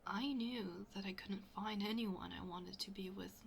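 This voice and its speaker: A woman playing a smitten young best friend in an intimate ASMR roleplay, speaking softly and hesitantly, often half-whispering. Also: mid-range voice, steady tone, unhurried, creaky, slight background noise. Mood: shy, tender. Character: smitten young best friend, shyly confessing, playfully teasing.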